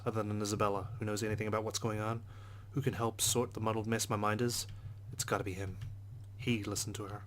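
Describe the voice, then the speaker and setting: Low, quick, even-toned male game narrator. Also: noisy background. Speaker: let's play host. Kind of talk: reading game narration aloud with casual asides. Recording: music behind the voice.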